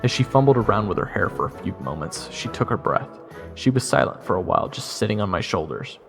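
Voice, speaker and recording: A man's voice: fairly low pitch, sing-song, brisk, vocal fry, long reverb tail. A man playing a wisecracking, easily flustered jester who narrates his own story in an audio drama. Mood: narrating. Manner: casual, wry, awkward. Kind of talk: first-person audio drama narration and dialogue. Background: music.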